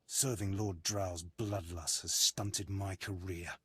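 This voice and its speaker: An actor playing an ambitious, resentful military sergeant in a video game, formal, clipped and self-important. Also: male voice, low-pitched, fairly even intonation, slow pace, slightly rough, no room sound, exaggerated voice. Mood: resentful, impatient. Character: ambitious, resentful, impatient male military sergeant of an evil empire.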